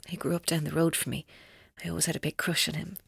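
A troubled young Irish woman in an audio drama. Her voice is husky and close, with a dry sound. She is guarded and halting, breaking off as she speaks. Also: deep voice, little pitch movement, dry recording, heavily acted. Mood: guarded, bitter. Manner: hesitant, halting, guarded, breaking off mid-sentence. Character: tormented, evasive young woman giving police her story. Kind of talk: naturalistic audio drama acting.

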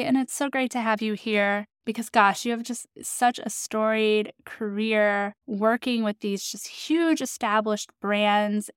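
A woman's voice: fairly high pitch, clear, resonant tone, no echo, clean studio sound. A woman hosting an interview podcast, asking questions in an upbeat, friendly way. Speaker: podcast host.

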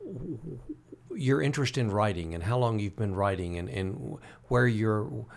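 Gravelly low-voiced male host. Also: lively intonation. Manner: halting, many "uh" fillers and restarts. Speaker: podcast host. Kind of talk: interview hosting.

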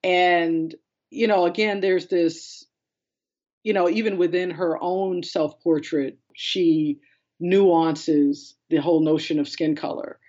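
A woman's voice: lowish voice, fairly even intonation, slow, warm tone, muffled recording. A woman speaking as a curator in an interview, explaining in a discursive, conversational way. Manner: discursive, explanatory, quotes others in conversational paraphrase. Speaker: art curator being interviewed.

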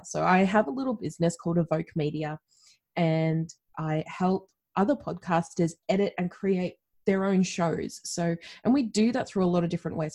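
A woman's voice: lowish voice, darkish tone. A woman with an Australian accent, a podcast guest telling her story in a chatty, candid way and laughing often. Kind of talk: conversational storytelling.

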